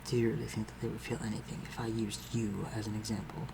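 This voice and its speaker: A man playing an aristocratic vampire master in a scripted audio roleplay, speaking formally and with measured, condescending concern for the listener. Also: on the low side, little pitch movement, gravelly, noisy background. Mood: coldly menacing. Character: aristocratic male vampire master, civil, protective, coolly superior.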